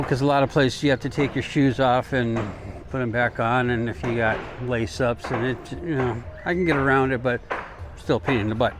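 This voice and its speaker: An American man doing a casual, rambling travel vlog while walking, talking in an informal, folksy way. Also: medium pitch. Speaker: travel vlogger. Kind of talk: casual rambling walk-and-talk chat. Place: outdoors, walking along city streets. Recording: clear outdoor street and traffic noise.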